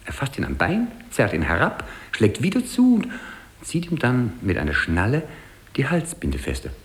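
An actor playing a frail, put-upon old French dancing master who chatters in a halting, animated way in a radio play. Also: male voice, mid-range voice. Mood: amused complaint. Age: older. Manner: halting, animated, chuckling storyteller. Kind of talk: 1950s German radio play acting.

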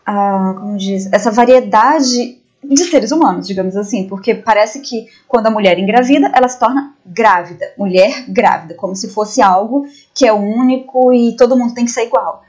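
A woman's voice: mid-range voice, moderate pace, darkish tone. A Brazilian woman taking part in a casual film discussion on a podcast panel. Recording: slightly dull, lo-fi audio.

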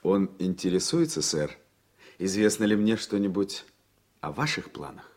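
Husky, reserved young male lawyer. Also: low voice, sing-song, measured pace, a little mellow, heavily acted. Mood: polite, probing. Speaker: actor in a radio play. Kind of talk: Soviet-era radio drama acting.

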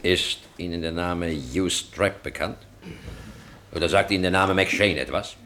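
An actor playing a wealthy, courtly older gentleman in a radio drama, speaking in a ceremonious, commanding way. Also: low-pitched, unhurried. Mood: imperious. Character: wealthy, courtly, imperious older gentleman, searching for his son. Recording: hissy 1970s radio play recording.

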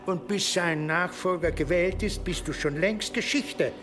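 A medieval archbishop with a fairly high male voice. He speaks deliberately and with sly mockery, like one who holds power, in a radio drama with faint noise behind him. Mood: triumphant. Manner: accusing, deliberate, mocking. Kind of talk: radio play acting.

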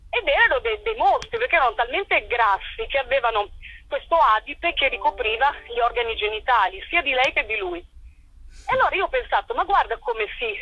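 A woman answering interview questions as a playwright and performer, in a colloquial, animated storytelling way. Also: fairly high pitch, slightly rough, dry recording, highs cut off.

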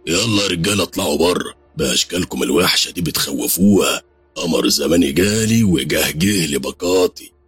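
A man's voice: sits low, expressive, fairly quick, breathy edge, dry recording, faint music behind, exaggerated voice. A man voicing a smug, menacing thug leader who mocks in Egyptian Arabic. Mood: mocking menace. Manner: mocking, menacing.

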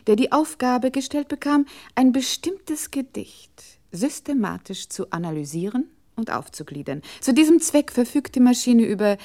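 Formal, mellow-voiced female computer control. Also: on the high side. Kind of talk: scripted 1960s radio play narration.

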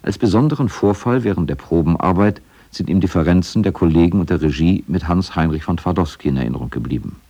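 A man reads documentary narration in a deep voice with a husky grain. The sound is dark and relaxed, and the delivery is scripted radio feature style. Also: fast, few pauses.

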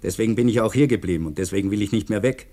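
An older actor playing an eager, proud ageing professor turned construction worker in a radio drama. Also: male voice, on the low side, very fast, warm tone, lightly acted. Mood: earnest, hopeful.

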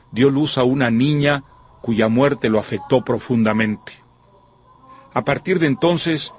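A man presenting a radio novel with scripted literary narration in a formal, measured, erudite way. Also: mid-range voice, slight background noise. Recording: music behind the voice.

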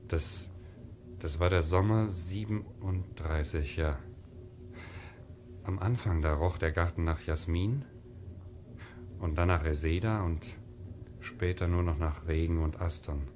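An actor playing a modest, disillusioned young sailor, hesitant and resigned, in a 1950s radio drama. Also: male voice, sits low, unhurried, pauses often, background noise. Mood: wistful. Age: young adult.

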